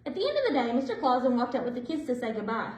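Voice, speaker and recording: A woman with a high voice narrates a picture book for children. Her delivery swings up and down expressively, with deliberate phrasing. The room adds a clear echo. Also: very animated, a little hiss or hum. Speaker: librarian reading stories aloud for children. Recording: roomy indoor echo.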